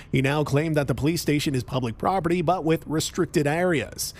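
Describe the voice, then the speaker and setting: A man's voice: fairly high pitch, a little mellow, a little hiss or hum. A man reading a scripted narration over police clips in a scornful, editorializing tone.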